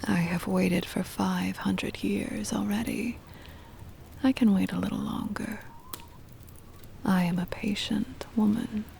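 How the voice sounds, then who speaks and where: A woman playing a possessive, doting centuries-old vampire mistress in an intimate, breathy whispered ASMR roleplay, coaxing and tender with a menacing edge. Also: mid-range voice, steady tone, darkish tone, a little hiss or hum. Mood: playful, possessive. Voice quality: breathy whisper with creaky edges. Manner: slow, coaxing, possessive endearments.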